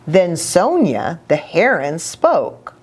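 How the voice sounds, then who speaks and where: A woman reading a story aloud for young children as a church storyteller, expressive, with chatty asides. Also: on the low side, slow, husky.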